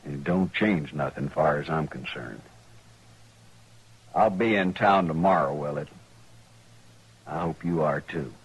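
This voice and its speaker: An actor playing a bitter, vengeful husband in a radio western, with a menacing rural western American drawl. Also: male voice, a bass voice, unhurried, pauses often, slightly rough, exaggerated voice, poor audio. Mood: threatening. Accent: rural American West. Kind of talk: old-time radio western acting.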